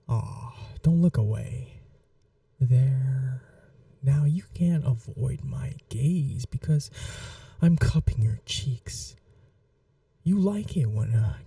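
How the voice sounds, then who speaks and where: A man playing a possessive, seductive vampire in an intimate ASMR roleplay, speaking in a soft, breathy half-whisper with a languid, teasing, condescending manner. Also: mid-range voice, no room sound, recorded from a distance, exaggerated voice.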